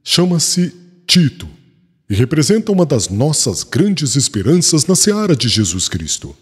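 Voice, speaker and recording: A man's voice: fairly high pitch, big pitch swings, measured pace, slightly rough, heavily acted. A man playing a proud, defiant missionary apostle in a religious audiobook, speaking emphatically with long reverb around the voice. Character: proud, defiant male missionary apostle championing gentile converts. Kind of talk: dramatized religious audiobook dialogue.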